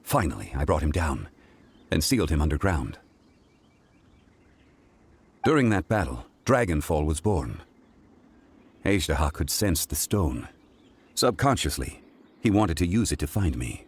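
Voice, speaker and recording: A man voicing a calm, weary ancient god in human form, speaking formally and deliberately in measured video game dialogue. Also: rumbling low, husky, full character voice. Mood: grave, wistful.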